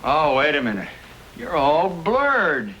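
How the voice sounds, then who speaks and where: A man's voice: a little high, sing-song, slow, bright, forceful tone, full character voice. An actor playing a cheerful, nearsighted male blacksmith with a hearty delivery in an old-time radio western. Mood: delighted. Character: cheerful nearsighted male blacksmith getting his first spectacles. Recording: noisy old radio drama recording.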